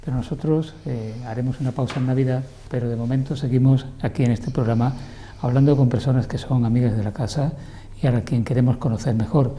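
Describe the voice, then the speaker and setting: An older man hosts a TV interview show and guides the talk in a courteous, leisurely way. His voice is very dark and mellow, set at a middle pitch. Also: fairly even intonation, fast. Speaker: TV program host. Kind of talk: interview host chat. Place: TV studio.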